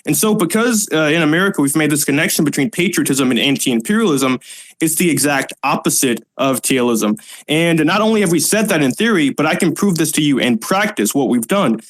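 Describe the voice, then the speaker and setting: A man arguing loudly and combatively in an online debate, often talking over others. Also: fairly high pitch, lively intonation, a little fast, poor audio. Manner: loud, interrupting, combative. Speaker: political commentator debating callers.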